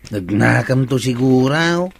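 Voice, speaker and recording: A man's voice: medium pitch, lively intonation, unhurried, vocal fry. A disgruntled villager in a radio comedy drama. His voice sits mid-range, with an Ilocano Filipino accent and an earnest, complaining delivery. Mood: resigned.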